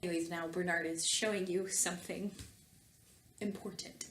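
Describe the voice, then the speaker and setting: A woman's voice: lowish voice, steady tone, normal speed, a bit bright. A woman talking casually and reading aloud as a comedic host, with wry conversational asides. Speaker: video host and fan fiction reader. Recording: roomy echo with faint background noise.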